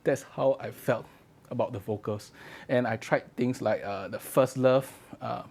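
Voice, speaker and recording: A man's voice: medium pitch, unhurried, creaky, a little mellow, husky. A man reviewing audio gear in a casual, conversational way. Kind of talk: casual spoken product review to camera.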